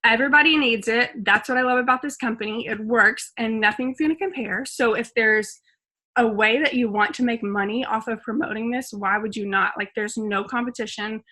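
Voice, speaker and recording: A woman hosting a video call, making an enthusiastic, persuasive pitch with plenty of fillers. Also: brisk, bright, forceful tone. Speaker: host.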